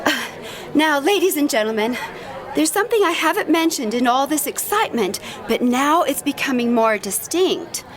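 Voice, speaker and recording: Actress for radio-play field reporter: high female voice, earnest and urgent, easily awestruck, over busy crowd noise. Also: moderate pace. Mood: earnest, tense. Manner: dramatic, urgent, stammering in excitement. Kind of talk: radio drama acting, live on-scene news report. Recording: music and crowd noise behind. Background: music, busy crowd.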